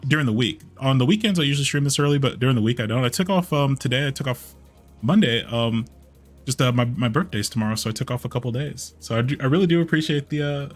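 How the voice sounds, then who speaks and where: A man chatting casually on a gameplay livestream in a halting way, often repeating his phrases. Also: mid-range voice. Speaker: live streamer, VTuber. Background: game music.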